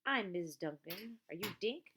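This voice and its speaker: A woman's voice: mid-range voice, lively intonation, moderate pace, background noise, natural voice. A woman voicing a stern, impatient grown woman in a children's audiobook, curt and demanding.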